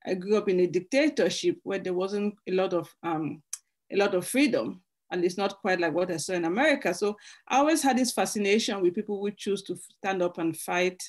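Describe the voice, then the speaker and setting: A woman author from Cameroon answering interview questions thoughtfully, halting and restarting often. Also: medium pitch, darkish tone.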